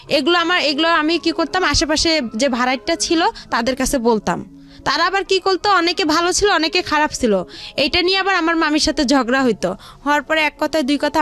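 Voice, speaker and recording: High-pitched young Bangladeshi woman over music. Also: a bit bright. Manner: rambling, colloquial, repeats phrases. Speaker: guest telling her life story. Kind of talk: personal storytelling in a studio interview. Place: radio studio.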